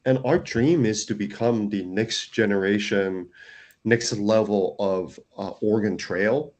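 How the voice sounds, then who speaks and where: Founder, male, lowish voice, earnest, stops and restarts phrases. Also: measured pace, darkish tone. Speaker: startup founder, guest. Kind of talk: casual interview.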